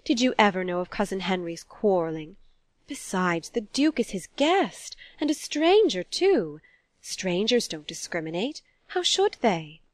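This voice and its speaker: A woman's voice: medium pitch, no room sound. Woman acting a prim, disapproving society matron. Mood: offended. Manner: indignant, genteel, insistent. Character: proper, disapproving older society mother.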